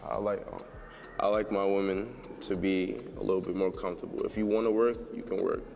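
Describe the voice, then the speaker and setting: A man's voice: sits low, little pitch movement, fairly quick, gravelly, darkish tone, slight background noise. A man in his late twenties, a dating game show contestant, talking in a laid-back, easygoing way. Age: 20s. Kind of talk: casual dating-show conversation.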